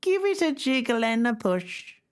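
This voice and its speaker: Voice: medium pitch, big pitch swings, measured pace, smooth, voice pushed up. A reader doing a drowsy old granny. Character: dozy, kindly old grandmother.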